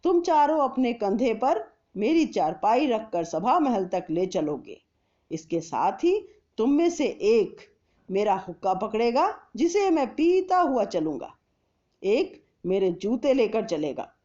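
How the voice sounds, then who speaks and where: A woman voicing a witty, self-assured male royal adviser in a storybook, speaking confidently and deliberately. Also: a little high, very animated, warm tone, muffled recording. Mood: confident, sly.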